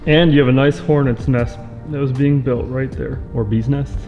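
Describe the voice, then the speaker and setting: A man's voice: medium pitch, fairly even intonation, moderate pace, mellow, muffled recording, soft background music. A man chatting casually as a co-worker during a job. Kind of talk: casual chat.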